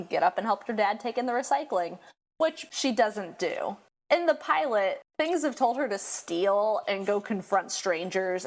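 A woman in her twenties, a podcast co-host, chatting casually in a wry, laid-back way. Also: mid-range voice. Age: 20s. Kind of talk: casual chat.

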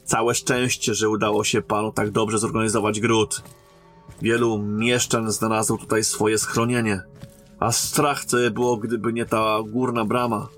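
An actor playing a courteous royal emissary, a captain, in a fantasy audio drama, speaking formally and earnestly. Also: male voice, on the low side, little pitch movement, measured pace, fairly bright tone, soft background music. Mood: calm, concerned.

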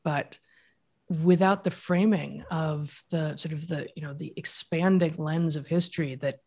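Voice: mid-range voice, steady tone, measured pace, a little mellow. A podcast guest who answers at length in a thoughtful, lecturing way, building each idea step by step. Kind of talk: explanatory interview answers.

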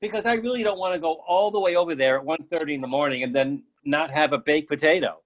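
A man plays a stubborn oddball with a high voice in a comic stage dialogue. He argues his silly logic in an earnest, matter-of-fact way. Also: fast talker, lo-fi sound, lightly acted. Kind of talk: comic dialogue in a play reading.

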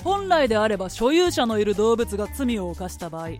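A woman's voice: a little high, very animated, a little fast, over music. A woman playing a stern, authoritative merchant and judge in a radio drama, formal, imperious and composed. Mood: stern judging.

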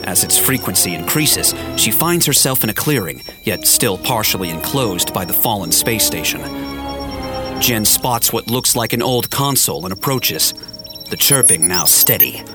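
A man's voice: fairly low pitch, lively intonation, moderate pace. A man giving a scripted, storytelling narration for a science fiction audio drama. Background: music.

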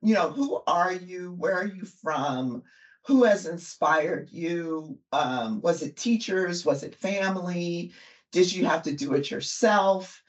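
A woman hosting a podcast interview, unhurried and chatty, with many fillers and frequent laughs. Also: lowish voice, animated. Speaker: podcast host. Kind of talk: interview conversation.

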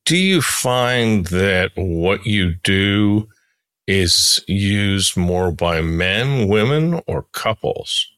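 A man's voice: low-pitched, lively intonation, extremely slow, a bit bright, smooth, no echo. A man interviewing a guest conversationally as a podcast host.

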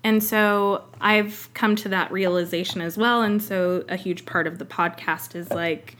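A woman's voice: mid-range voice, steady tone, unhurried, fairly bright tone. A young woman hosting a podcast, talking casually with many fillers. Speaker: podcast host. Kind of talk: casual interview chat.

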